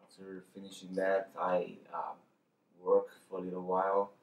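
A young man, a PhD student introducing himself in a halting, shy way. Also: sits low, completely flat delivery. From China. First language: Chinese. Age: young adult. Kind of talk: casual self-introduction. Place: conference room. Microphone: distant camera mic. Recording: roomy, distant mic.

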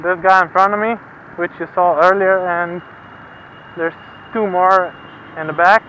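Young dude motovlogging from his bike, kinda high voice, chill and laughing, some road noise. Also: steady tone, unhurried, bright, forceful tone, lo-fi sound. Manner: laid-back, laughing, swears casually. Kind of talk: casual helmet-cam commentary. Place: on a motorcycle outdoors on the road. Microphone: helmet-mounted mic. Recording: faint road and engine noise.

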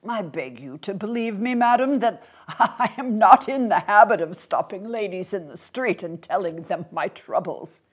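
A woman voicing a very old, well-spoken gentleman in an audiobook, courteous and pleading, with a hesitant, flustered delivery. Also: medium pitch, animated, moderate pace, husky, voice pushed up. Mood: gentle, apologetic. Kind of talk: audiobook character voice.

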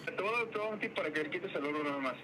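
A man's voice: on the high side, lively intonation, racing, pushed and bright. Hesitant Mexican man on the phone. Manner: hesitant, repetitive, polite. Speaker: furniture cleaning service worker. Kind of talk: phone conversation with a customer. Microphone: phone call. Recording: phone call with music behind.

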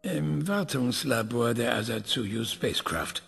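A proud, obsessive older scientist, played by a man in a radio play, questioning his machine in a calm, measured way. His voice is very raspy and gravelly, slow, with a long hall reverb trailing behind it. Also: medium pitch, heavily acted. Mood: probing.

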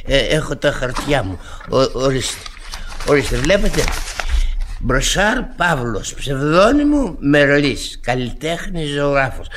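Male actor doing a jovial drunk vagabond artist; animated, slightly high voice, theatrical and wheedling. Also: slight background noise. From Greece. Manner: chatty, theatrical, self-pitying and cajoling. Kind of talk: radio theatre acting.

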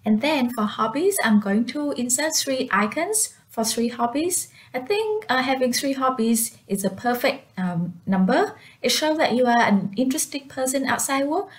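Higher-pitched woman, native Vietnamese speaker, teaching software. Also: expressive, moderate pace. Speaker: tutorial presenter, recruiter. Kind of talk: step-by-step software tutorial narration.

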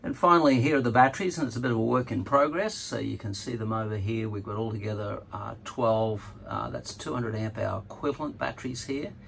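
A man's voice: fairly low pitch, a little fast, vocal fry, few pauses, a bit bright, a little hiss or hum. A man walking through a boat's solar setup in a casual, technical way, with fillers and self-corrections. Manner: informal, explanatory, many fillers and self-corrections. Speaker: solar installer demonstrating a boat system. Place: on a sailing catamaran, outdoors and in lockers, later voice-over on screen recording.

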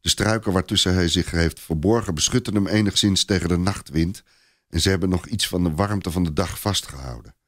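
A man narrating a scripted story in a dramatised, expressive and deliberate storytelling style. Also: deep voice, no room sound, studio quality.

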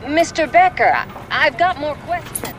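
A woman playing a probing TV news reporter in a game, with crisp, dramatic broadcast delivery. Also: high voice, animated, moderate pace, slight background noise. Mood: insistent.

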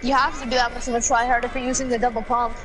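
High-pitched bossy gamer woman. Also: flat delivery, far from the mic, music in the background. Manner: bossy, giving quick tactical orders. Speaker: gaming teammate. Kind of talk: casual gaming chat.

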